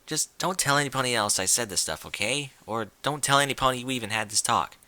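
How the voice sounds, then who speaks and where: Dude doing a tomboy girl pony in a story, blunt n guarded, awkward, bright voice, real dry sound. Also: mid-range voice, expressive, quick pace, no room sound. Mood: reluctant, awkward. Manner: blunt, hesitant, casual.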